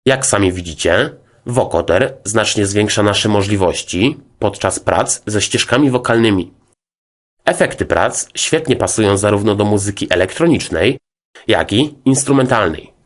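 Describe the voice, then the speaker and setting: A man narrating a scripted tutorial as a music software instructor, in a formal, methodical, explanatory way. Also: lowish voice, lively intonation, moderate pace.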